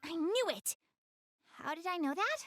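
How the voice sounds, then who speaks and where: A woman's voice: very high voice, big pitch swings, moderate pace, fairly bright tone, no echo, studio quality, soft background music, heavily acted. A woman voicing a shy, prideful young goddess girl in an English-dubbed anime game, flustered and earnest but a little haughty. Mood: friendly, open.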